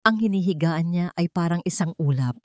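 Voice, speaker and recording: Female narrator for dramatic romance fiction, low register, dry studio sound. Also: a little fast, no echo.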